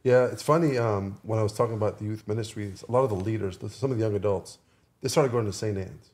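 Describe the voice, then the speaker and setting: A man's voice: fairly low pitch, fast talker, a little mellow. A man talking earnestly in a casual podcast discussion, often repeating phrases for emphasis. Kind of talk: casual conversation.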